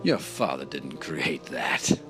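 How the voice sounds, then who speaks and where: A gloating villainous doctor in an audio drama. He speaks slowly, with wide swings of pitch, relishing each vindictive phrase. Music sits clearly behind his voice. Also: sing-song, heavily acted. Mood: pointed, vindictive. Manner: measured, gloating, theatrical.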